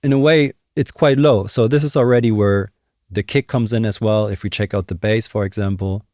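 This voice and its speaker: Low-voiced young man explaining, dry sound. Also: fairly even intonation, moderate pace, a little mellow, no echo. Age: young adult. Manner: conversational, explanatory thinking aloud. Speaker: music production tutor. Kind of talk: casual tutorial narration. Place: small indoor home studio. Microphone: close desk microphone, headphones on. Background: music and drum samples.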